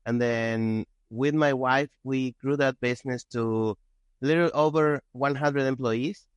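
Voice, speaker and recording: A man from Argentina with an Argentine accent and Spanish as first language, telling his story casually and haltingly as a guest. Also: medium pitch, steady tone, smooth, dry recording. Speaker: podcast guest, entrepreneur.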